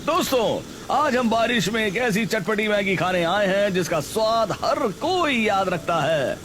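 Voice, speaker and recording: A man's voice: high voice, sing-song, unhurried, a bit bright, slight background noise, voice pushed up, heavily acted. A man voicing an enthusiastic food blogger addressing his viewers in a cartoon. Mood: excited. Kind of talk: scripted cartoon dialogue.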